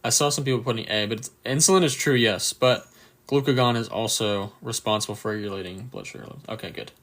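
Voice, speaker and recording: A man with a croaky, just-woken-up voice runs a casual live quiz for anatomy students, reading answer options and cheering on viewers' replies. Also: fairly low pitch, fast, fairly bright tone. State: just woke up, a bit tired. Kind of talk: casual livestream quiz review.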